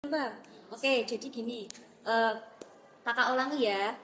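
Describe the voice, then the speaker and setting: A woman's voice: fairly high pitch, unhurried, fairly bright tone, low-quality recording. Young woman leading a school group session. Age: young adult. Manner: friendly and encouraging, many fillers. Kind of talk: classroom group guidance. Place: classroom.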